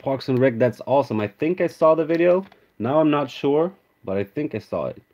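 A man's voice: medium pitch, expressive, a little fast, darkish tone. Swedish man chatting on a lo-fi stream. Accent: Swedish, American-tinged. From Sweden. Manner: chatty, reads out viewer names, names cards as he pulls them. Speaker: card-opening streamer. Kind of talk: casual live-stream chat. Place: indoor room with card display.

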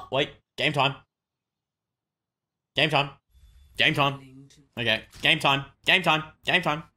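Excitable young man streaming games, very bright voice. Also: a little high, takes it slowly, long pauses. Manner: excitable, repeats short catchphrases. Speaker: game streamer. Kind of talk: excited livestream gaming chatter.

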